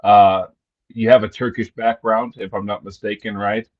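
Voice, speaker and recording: A man's voice: on the low side, level tone, moderate pace. A man hosting a live-stream interview, who asks friendly, easygoing questions with fillers. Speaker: interview host. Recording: dull, lo-fi live-stream audio.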